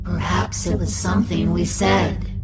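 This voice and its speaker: A woman voicing a broken female animatronic in a horror game, slow, theatrical, eerie and sorrowful. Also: on the low side, flat delivery, vocal fry. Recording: loud music behind the voice.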